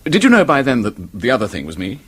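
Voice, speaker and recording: An actor playing a remorseful, middle-aged English office man confessing to murder, halting and stammering, in a radio drama. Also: mid-range voice, huge pitch swings, a bit bright, breathy edge, exaggerated voice. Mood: shaken, confessing. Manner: halting, stammering, old-fashioned public-school phrasing.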